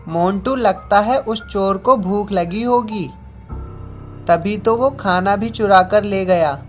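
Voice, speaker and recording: A woman's voice: mid-range voice, slow pace, soft background music, lightly acted. A woman voicing a young village boy, one of a group of friends in a children's cartoon story. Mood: curious.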